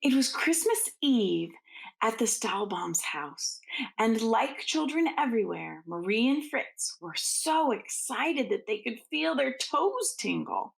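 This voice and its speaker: A woman with a fairly high voice and a noticeable creak reads to children like a schoolteacher. She takes her time, phrasing things expressively and deliberately in an informal storybook read-aloud style. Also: poor audio. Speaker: school teacher reading aloud to students.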